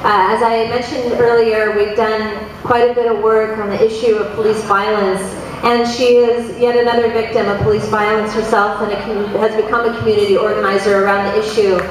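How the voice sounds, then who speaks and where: A woman's voice: medium pitch, level tone, a little fast, few pauses. A woman hosting an event, briefly introducing the next speaker with a few fillers. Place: large echoey hall. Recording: echoey hall from far off, noisy.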